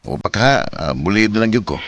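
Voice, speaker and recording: A devoted young Filipino father in a radio drama, speaking fairly low with big emotional swings in pitch. There is clear noise over the track. His delivery is heartfelt and expressive. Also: fairly quick, heavily acted. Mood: warm, casual. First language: Cebuano. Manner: emotive, swinging from soft talk to anguished cries. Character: devoted young father, tender, later anguished and bitter. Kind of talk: Bisaya radio drama acting.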